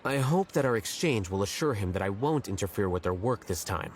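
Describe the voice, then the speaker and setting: Male actor as detached young game scholar, low voice, measured and matter-of-fact. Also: animated, background noise, lightly acted.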